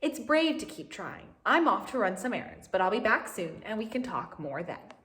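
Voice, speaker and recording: A woman voicing a kindly, proper royal governess in a children's storybook, measured and reassuring. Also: mid-range voice, sing-song, a bit bright, slight background noise.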